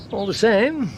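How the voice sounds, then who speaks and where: Animated, higher-voiced urbane English doctor. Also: male voice, heavily acted. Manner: wry, urbane, chuckling. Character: worldly, amused middle-aged English society doctor, old friend. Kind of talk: British radio drama acting. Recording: faintly noisy radio play recording.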